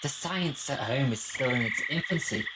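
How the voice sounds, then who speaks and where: An actor in a sci-fi audio drama playing a time traveler's inquisitive, wry companion. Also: male voice, mid-range voice, animated, normal speed, lightly acted. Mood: curious, wry. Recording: very noisy, clicks.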